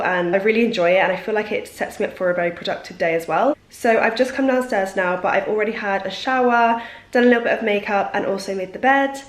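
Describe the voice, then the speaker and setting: Woman in her twenties, congested from a cold, quick casual vlog chat in a reverberant room. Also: mid-range voice. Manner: chatty and relaxed, sharing her routine. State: stuffy nose from a cold. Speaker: lifestyle vlogger.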